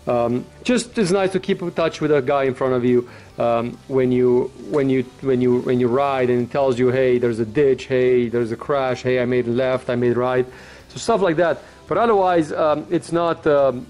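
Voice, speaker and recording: A man's voice: fairly high pitch, darkish tone. A man giving a casual, unscripted product review in an informal, blunt, rambling way, like a vlogger.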